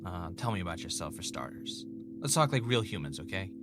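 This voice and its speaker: A man's voice: low-pitched, very animated, fairly quick, loud music behind the voice. A man playing a former reality show contestant turned podcast host, haunted and defensive, in naturalistic audio drama dialogue. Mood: conciliatory.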